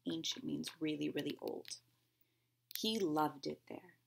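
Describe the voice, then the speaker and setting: A woman's voice: low voice, unhurried, creaky, a little hiss or hum. A woman reading a picture book aloud to young children like a teacher, expressively, with simple explanations and questions along the way.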